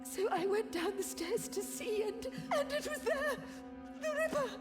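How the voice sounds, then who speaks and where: Terrified shrill nun. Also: female voice, expressive. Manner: trembling, halting, panicked. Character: terrified, doom-crying nun witness. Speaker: game character. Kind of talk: scripted video game dialogue acting. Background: music.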